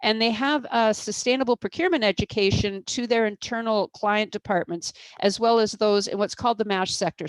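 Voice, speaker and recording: A woman's voice: mid-range voice, no echo. Woman presenting a webinar, dry close sound. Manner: explanatory, many fillers and restarts. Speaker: procurement expert presenter.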